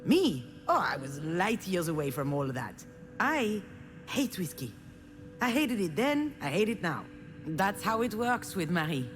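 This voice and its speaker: A woman's voice: lowish voice, very animated, measured pace, faint music behind. An actress playing a frustrated, self-deprecating woman who narrates her family wounds in a confiding, bitter game monologue. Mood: bitter, resentful. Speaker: visual novel protagonist. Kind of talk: dramatic first-person game narration.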